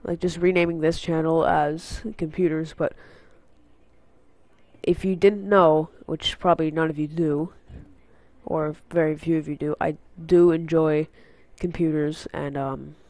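A man's voice: a little high, level tone, a little slow, darkish tone, no room sound. A teenage boy with a congested, stuffy nose from a cold, rambling casually through a channel update on an unfamiliar headset mic. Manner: rambling, filler-heavy, goes on tangents. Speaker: gaming channel host.

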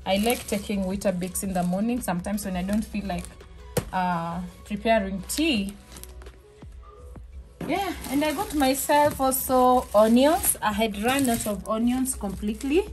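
A woman's voice: on the high side, measured pace. Chatty woman unpacking groceries at home. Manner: chatty, relaxed, restarts and fillers, soft laughs. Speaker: vlogger. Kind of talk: casual shop-with-me haul chat. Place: small home kitchen, indoors. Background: background music.

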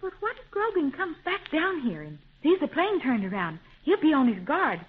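A woman's voice: high-pitched, wildly swooping pitch, moderate pace. An actress playing a spirited, alert young girl adventurer in a 1930s radio serial, with an urgent manner. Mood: anxious questioning. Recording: tinny, narrow 1930s radio recording.

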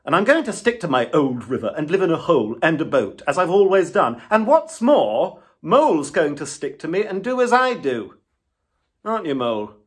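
A storybook reader voicing a stubborn, steady male water rat, talking firmly and doggedly in a husky voice. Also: mid-range voice, normal speed, pitched above the performer's own voice. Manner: firm and dogged. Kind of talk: storybook reading.

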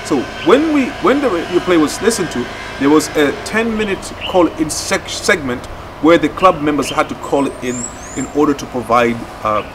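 A man, explaining a project in a documentary interview outdoors, with deliberate, halting phrasing. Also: a little high, expressive, noisy background. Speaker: theatre project leader. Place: outdoors in a leafy courtyard.

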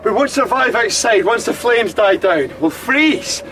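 A man's voice: expressive, unhurried, distant mic, heavily acted. An actor playing a grim, determined man leading a stranded crew in a radio drama, terse and insistent. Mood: grim, commanding. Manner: terse, insistent, clipped phrases. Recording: loud rumbling noise behind.

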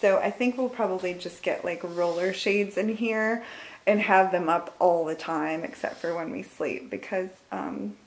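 A woman's voice: fairly low pitch, measured pace, low-quality recording. Chatty Michigan woman giving a home tour. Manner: chatty, laughs often, trails off. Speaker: vlogger. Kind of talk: casual home tour vlog. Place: house, indoors, moving through rooms.

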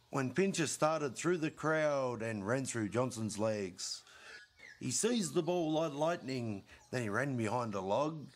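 A middle-aged man recites a rhyming bush ballad at a leisurely pace. His medium-pitched voice rises and falls with emphasis, telling the comic tale dramatically. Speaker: poetry reader.

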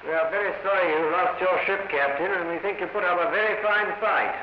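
A man's voice: high-pitched, fairly even intonation, fairly quick, a little hiss or hum. A man speaking formally and courteously as a naval official in a 1940s documentary meeting. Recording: echoey, dull 1940s film soundtrack.

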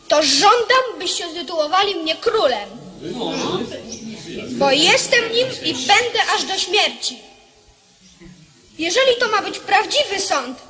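A woman's voice: extremely high pitch, bright, forceful tone, heavily acted. A woman voicing a proud, defiant little boy king in a radio play, dignified and emphatic. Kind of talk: Polish radio play acting.

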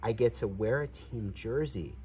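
A man's voice: mid-range voice, moderate pace, warm tone, recorded from a distance, slight background noise, lightly acted. A man voicing an eager young male horse in a children's storybook, outdoors. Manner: eager, announcing.

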